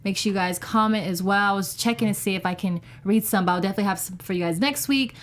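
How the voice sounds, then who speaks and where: A woman's voice: mid-range voice, quick pace. A woman with a mid-range voice speaks as a panelist. Her talk is loose and conversational, full of informal phrasing. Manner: informal, frequent "like". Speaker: panelist on a TV recap show. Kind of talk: casual chat.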